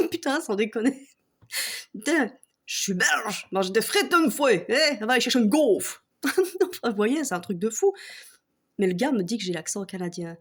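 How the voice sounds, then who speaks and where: A woman's voice: fairly high pitch, lively intonation, a little slow, pauses often, breathy edge. A woman from Belgium with a Belgian French accent, ranting casually and mockingly, swearing often and breaking into laughter. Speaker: vlogger. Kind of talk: casual comic rant to camera.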